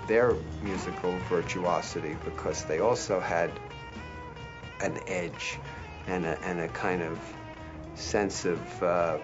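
Slow, deep-voiced man reflecting. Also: steady tone, lo-fi sound, music in the background. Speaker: interviewee. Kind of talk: documentary interview.

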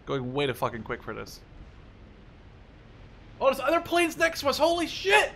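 A young man giving casual, profanity-laden gameplay commentary, excitable and often talking to himself as he plays. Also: extremely high pitch, normal speed, a little hiss or hum. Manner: excitable, swears freely, talks to himself. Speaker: gaming YouTuber. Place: small room at home.

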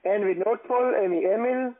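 A man with a foreign accent, not a native German speaker, giving pushy phone instructions as a call-centre agent in halting, broken phrasing. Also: sits high. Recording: muffled lo-fi phone line.

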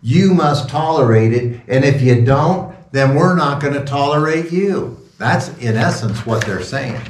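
A man in his sixties speaks as a pastor in an informal, rambling sermon style with a sarcastic edge. His medium-pitched voice is recorded at some distance from the mic, with clear room echo. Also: animated, a little slow, slight background noise. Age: 60s. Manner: sarcastic, rambling, chuckling asides. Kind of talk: informal sermon talk with asides. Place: small wood-paneled room indoors.

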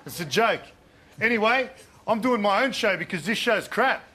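A man's voice: sits high, expressive, normal speed, background noise. An Australian man playing a cocky, hyped-up young suburban DJ with slangy, boastful bro-heavy talk. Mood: cocky, indignant. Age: young adult. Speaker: comedy performer on a sports show. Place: TV studio and filmed sketch locations. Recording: loud music and crowd behind voice.

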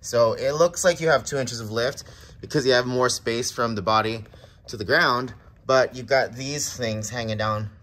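A man's voice: medium pitch, moderate pace, fairly bright tone. Man explaining truck work plainly. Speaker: garage channel host. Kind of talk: how-to voice-over narration.

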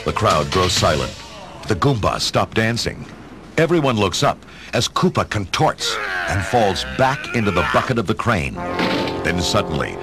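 A man narrating an adventure story in a measured, dramatic scripted style. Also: fairly low pitch. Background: dramatic music.

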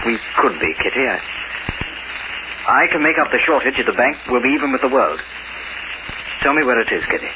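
An actor in an old radio crime drama playing a coldly suave, betrayed banker turned crook, precise and sardonic. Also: male voice, medium pitch, sing-song, brisk, long pauses, close to an everyday voice. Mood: calculating. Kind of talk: 1940s-50s radio drama acting. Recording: noisy, dull old radio recording.

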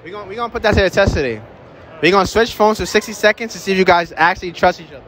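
A young man running a street interview in a shopping mall, teasing couples in slangy, quick banter. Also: high-pitched, fairly bright tone. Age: young adult. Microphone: handheld phone held up to mouth.

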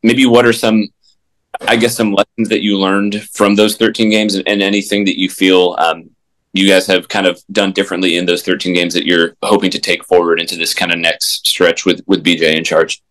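A man's voice: deep voice, little pitch movement, moderate pace, fairly bright tone, low-quality recording. A male sports reporter asking a hesitant question full of fillers.

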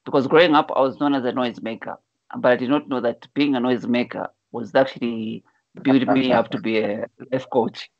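A man's voice: mid-range voice, fairly even intonation, brisk, no echo. Earnest man from Zambia on a dull call. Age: 40s. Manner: earnest storyteller, repeats words when starting a thought. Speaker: life coach guest. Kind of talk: telling his life story in an online interview. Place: indoor room at home. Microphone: video call, wired earphones. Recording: dull lo-fi video call audio.

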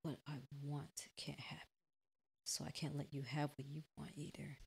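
A woman's voice: sits low, little pitch movement, normal speed, creaky, slight background noise. A woman voicing an obsessive, jealous young man turned murderous stalker in a visual novel, pleading and accusing. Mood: cold resignation.

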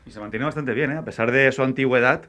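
A man's voice: medium pitch, fast, bright, forceful tone, a little hiss or hum. A man with a Spanish accent from Spain interviews conversationally on location as a TV reporter, his voice slightly muffled by a face mask. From Alicante province, Spain. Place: indoors in old pottery workshop and brick kiln. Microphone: handheld reporter's microphone.

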